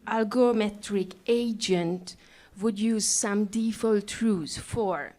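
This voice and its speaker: A woman's voice: medium pitch, takes it slowly, mellow. A woman academic putting a question from the audience at a conference.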